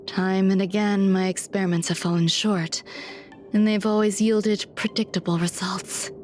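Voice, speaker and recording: An aloof, quietly curious genius scientist from a video game, played by a woman. She speaks formally and with detachment in a middle-pitched voice, choosing measured words, with faint music underneath. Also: fairly even intonation, natural voice. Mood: detached, musing. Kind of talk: scripted video game dialogue.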